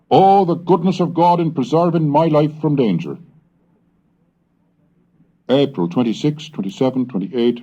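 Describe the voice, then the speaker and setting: A man giving a measured, deliberate reading of an old diary aloud, slowing on the dates. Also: fairly high pitch, dark tone, slightly rough. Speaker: reader of historical texts. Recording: dull lo-fi 1970s cassette recording.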